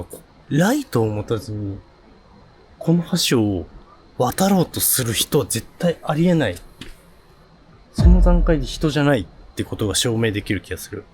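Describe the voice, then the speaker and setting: Jumpy, frightened man with swooping pitch. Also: darkish tone. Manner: jumpy, easily scared, exclaiming and muttering. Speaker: ghost-spot explorer and video host. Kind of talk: on-location paranormal vlog, casual talk. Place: outdoors on a suspension bridge at night. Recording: noisy outdoor location sound.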